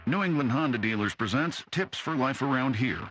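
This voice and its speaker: A man's voice: mid-range voice, expressive, a little fast, over music. A man reading a scripted radio commercial as a voice-over announcer.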